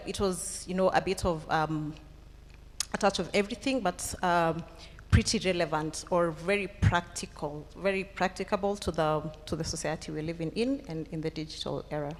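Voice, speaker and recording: A woman with a Kenyan accent speaking unscripted on a panel as a trainer, halting as she thinks aloud. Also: on the low side. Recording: echoey hall with long reverb.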